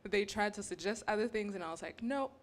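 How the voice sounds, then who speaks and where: A young woman from Rwanda, a graduate student on a panel, telling her story in an animated, earnest way. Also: medium pitch, a little fast. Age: young adult.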